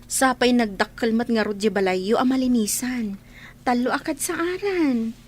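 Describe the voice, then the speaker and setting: A woman's voice: fairly high pitch, moderate pace, close to an everyday voice. A woman playing a polite, worried, dutiful young housemaid in a radio drama. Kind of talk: Ilocano radio drama acting.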